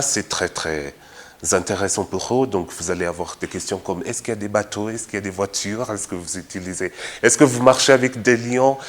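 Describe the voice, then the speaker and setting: A young man from Kenya, a former language assistant, speaking in a testimonial with many fillers and restarts. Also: fairly low pitch. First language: English. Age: young adult. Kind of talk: testimonial talk to camera.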